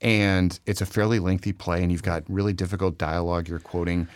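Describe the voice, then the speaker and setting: Fast-talking deep-voiced host. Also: male voice. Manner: enthusiastic, animated questioning. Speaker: interview host. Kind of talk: conversational interview.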